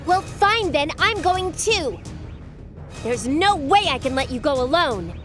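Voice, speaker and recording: A woman's voice: high-pitched, big pitch swings, a little slow, heavily acted. An actress playing a bubbly, stubborn teenage schoolgirl fighter in a dubbed video game, with a girlish, emphatic delivery. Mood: indignant, stubborn. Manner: emphatic, indignant. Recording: loud music behind the voice.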